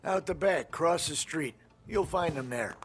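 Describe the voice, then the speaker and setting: A man playing a shifty but obliging underworld informant in a video game, with a gravelly voice. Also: on the high side, lively intonation, unhurried, a bit bright, soft background music. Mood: matter-of-fact. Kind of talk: dramatic video game cutscene acting.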